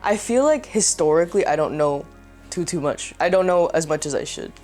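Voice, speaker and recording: Young woman w/ a lowish voice, rambly and chatty, faint music under it. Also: animated, brisk, warm tone. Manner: chatty, lots of filler words. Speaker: interviewee. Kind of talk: casual documentary interview.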